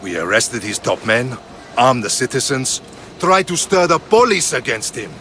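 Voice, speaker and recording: A man playing a principled, measured Spartan soldier in dramatic video game dialogue. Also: medium pitch, sing-song, pushed and bright, slight background noise. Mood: resolute.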